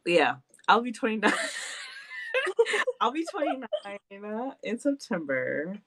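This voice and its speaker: A woman's voice: mid-range voice, big pitch swings, takes it slowly, fairly bright tone. A woman in her late twenties chatting casually as a podcast host, self-deprecating and laughing often. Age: 20s. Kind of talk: casual chat.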